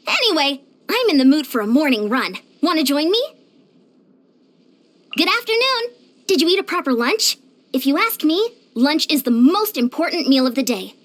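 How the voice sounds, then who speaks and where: A woman's voice: high-pitched, fairly bright tone. A woman voicing a short, youthful female fitness coach in a video game, peppy, encouraging and earnest. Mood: cheerful, advising. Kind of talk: video game character voice lines.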